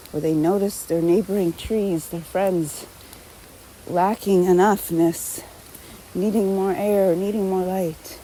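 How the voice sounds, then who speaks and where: A woman leading a guided meditation in a gentle, unhurried manner, speaking unscripted instructions in short phrases with long pauses. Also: medium pitch, a little hiss or hum, rough recording.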